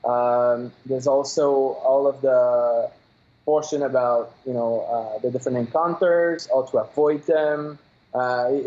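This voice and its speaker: French-accented man, halting and hesitant. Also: medium pitch, darkish tone. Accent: Quebec French. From Quebec, Canada. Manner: halting, searches for English words, many uh fillers. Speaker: game developer guest. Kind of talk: phone-in interview. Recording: dull lo-fi call line.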